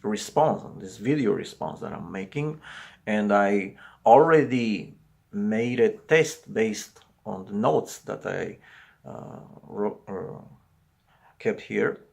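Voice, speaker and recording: Romanian guy talking english, slow and halting, gravelly lowish voice. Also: animated, a little mellow. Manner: halting, searching for words. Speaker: video commentator. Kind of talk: solo video commentary.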